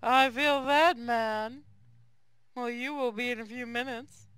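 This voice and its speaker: A co-streamer with a crisp, bright voice and a dry, close sound. The delivery is casual and blunt, full of crude teasing, with the odd line of game dialogue read out. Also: medium pitch, fairly even intonation, takes it slowly, no room sound, soft background music. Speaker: co-streamer commenting and reading lines.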